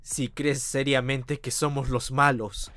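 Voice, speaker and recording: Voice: mid-range voice, little pitch movement, takes it slowly, fairly bright tone, dry recording. An actor voicing a fiercely protective young big brother hero in a cartoon game fandub. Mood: firm, earnest. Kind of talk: fandub character acting.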